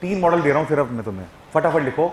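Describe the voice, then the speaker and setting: A man's voice: on the high side, expressive, racing. A man from Punjab teaching a live grammar class, teasing and quizzing his students. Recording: echoey classroom.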